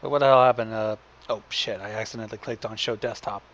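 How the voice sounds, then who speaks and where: A man's voice: fairly low pitch, steady tone, fast, a bit bright, lo-fi sound, a little hiss or hum. A man giving casual, wry, sweary commentary as co-host of a story reading.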